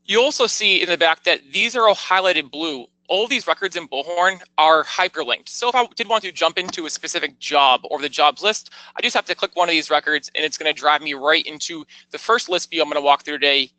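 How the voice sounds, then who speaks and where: A man with a fairly high, bright voice presents a software demo. He talks informally and explains each step in turn. The sound is close and dry, like a screen-recording voice-over. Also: fairly quick, few pauses, dry recording, poor audio. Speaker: software product demonstrator. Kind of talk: conversational product tour narration.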